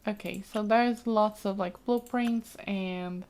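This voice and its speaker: A woman's voice: medium pitch, fairly even intonation, slow pace, darkish tone. A woman giving casual, chatty let's play commentary, thinking aloud with frequent exclamations as she explores a game. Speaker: gaming streamer, let's play host.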